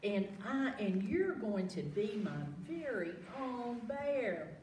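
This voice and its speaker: Sweet eager storybook girl, played by a woman, earnest and affectionate, lively intonation, distant mic. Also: a little high, slow pace. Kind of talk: children's picture-book storytelling. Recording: roomy, distant mic, faint noise.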